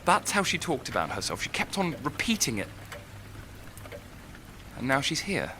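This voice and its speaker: An actor in an audio drama playing a brooding, lovelorn young writer, speaking in a hesitant, reflective way. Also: male voice, mid-range voice, wildly swooping pitch, normal speed, background noise. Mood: melancholy, wistful.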